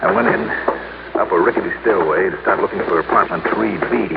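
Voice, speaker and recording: An actor playing a brisk, businesslike hard-boiled investigator in a 1950s radio detective drama, clipped and matter-of-fact. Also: male voice, low-pitched, creaky. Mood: grim narration. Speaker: lead actor and narrator. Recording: noisy, muffled old-time radio recording.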